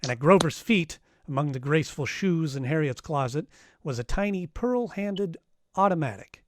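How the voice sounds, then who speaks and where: An actor playing a wry, tough private detective, narrating and questioning in an old-time radio crime drama. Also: male voice, a little high, expressive, moderate pace, dry recording, close to an everyday voice. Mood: wry, sober. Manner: wry, matter-of-fact.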